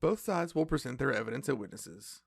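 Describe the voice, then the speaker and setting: A man acting a polite, earnest cafe owner in a fantasy audio drama, speaking in a measured way. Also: medium pitch, lively intonation, gravelly, slightly rough, no echo, clean studio sound. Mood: serious, explanatory. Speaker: voice actor in a fiction podcast.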